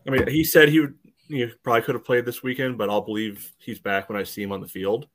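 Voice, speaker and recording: A man co-hosting a sports podcast, giving casual analysis in halting phrases padded with verbal tics. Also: medium pitch, fairly even intonation, a bit bright. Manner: hesitant phrasing, peppered with "you know". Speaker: podcast co-host.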